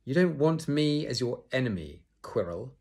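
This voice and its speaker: A man's voice: mid-range voice, sing-song, normal speed, darkish tone, pitched above the performer's own voice. A man voicing a cold, threatening male teacher with a clipped, icy delivery.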